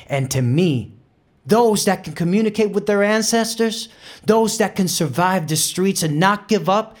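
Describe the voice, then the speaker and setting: Proud, high-voiced Nuyorican bandleader. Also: male voice. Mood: defiant, then boastful, playful. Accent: New York Puerto Rican. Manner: impassioned storytelling, slipping between English and Spanish. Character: proud, fiery Nuyorican percussionist and bandleader from the Bronx. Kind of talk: dramatic theatrical monologue for audio drama.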